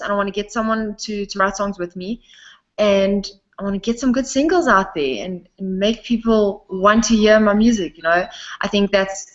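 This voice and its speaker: A woman's voice: mid-range voice, a bit bright. A young South African woman talking casually about her singing, often laughing between sentences. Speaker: interviewee, singer. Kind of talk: casual interview chat. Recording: dull lo-fi sound.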